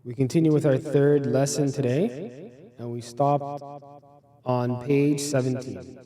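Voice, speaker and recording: A man's voice: medium pitch, measured pace, dark tone. A man teaching a beginner Arabic class, explaining words patiently and step by step to students in a classroom. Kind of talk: classroom lesson.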